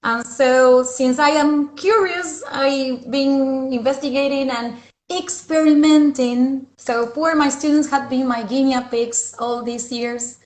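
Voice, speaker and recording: A woman's voice: high voice, steady tone, takes it slowly, darkish tone. Chatty Argentine woman on a video call. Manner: chatty, many fillers, laughs easily. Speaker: English teacher and co-host. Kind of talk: casual video call chat. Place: at home. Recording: roomy video call audio.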